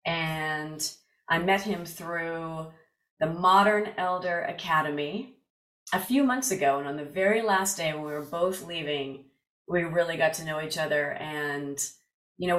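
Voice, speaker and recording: A woman's voice: fairly low pitch, fairly bright tone, roomy sound, low-quality recording. A woman hosting a podcast, giving an enthusiastic scripted intro and then chatting casually with her guest. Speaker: podcast host. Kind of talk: casual interview chat.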